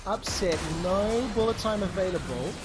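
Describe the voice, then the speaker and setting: A man calling live esports play-by-play with quick, excited bursts. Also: sits high. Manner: excitable, fast bursts, exclamations. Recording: commentary over loud game music.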